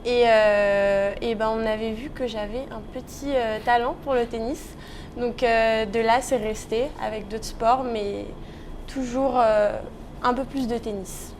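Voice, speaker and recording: High-voiced young woman, noisy background. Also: steady tone, unhurried, darkish tone. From Paris region, France. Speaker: interview guest, professional tennis player. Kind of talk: casual interview answers.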